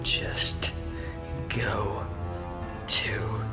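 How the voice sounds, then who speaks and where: An actor playing a menacing, sadistic serial killer in a horror audio drama, drawing out threats in a breathy whisper. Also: male voice, fairly low pitch, flat delivery. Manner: slow, drawn-out, threatening. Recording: loud music over the voice. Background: loud music.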